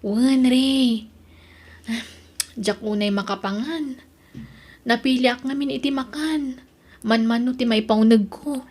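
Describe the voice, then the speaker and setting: A woman's voice: a little high, steady tone, slow, pauses often, a little mellow. A woman acting in a family drama as an anxious, pregnant young fiancée, plaintive and conversational. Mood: affectionate, weary.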